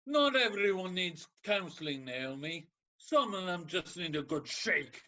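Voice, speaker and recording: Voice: mid-range voice, sing-song, measured pace, pushed and bright. An actor playing a scornful, impatient counsellor in a comedic radio play, speaking with sarcastic exasperation. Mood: defiant, impatient. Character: scornful, impatient, unsympathetic ancient Greek counsellor.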